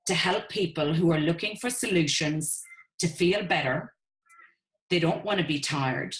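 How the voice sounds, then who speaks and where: An Irish woman with a low voice presents to an online audience. She pitches with upbeat enthusiasm and keeps calling her listeners "guys.". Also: rough recording. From Ireland. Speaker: co-founder presenting a webinar.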